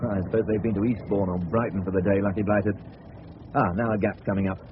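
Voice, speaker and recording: A man's voice: on the low side, steady tone, fast, close to an everyday voice. An actor playing a fussy, chatty middle-aged father in a 1970s radio drama, with a southern English accent and a clipped, grumbling manner. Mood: genial, chatty. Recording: narrow-band old radio recording, clear hiss.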